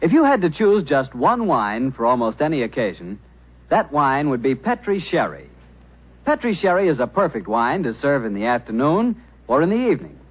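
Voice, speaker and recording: American male announcer: very lively, slightly husky, old-time radio advertising delivery. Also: mid-range voice, normal speed. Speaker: announcer and host. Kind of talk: 1940s radio sponsor pitch. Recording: dull, band-limited vintage radio recording.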